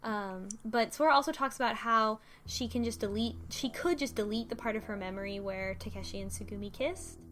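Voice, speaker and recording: A woman's voice: fairly high pitch, fairly quick, hardly any pauses, fairly bright tone. A woman co-hosting a casual discussion, recapping plot in a thoughtful way with many fillers. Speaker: podcast co-host. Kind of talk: casual discussion of a visual novel.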